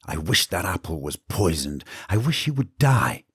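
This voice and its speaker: A man's voice: sits low, sing-song, moderate pace, breathy edge, dry recording, clean studio sound, exaggerated voice. A man voicing a young serving woman's anxious, bitter inner thoughts. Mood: brooding. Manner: tense, whispered inner thoughts. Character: young kitchen servant woman, vengeful, anxious.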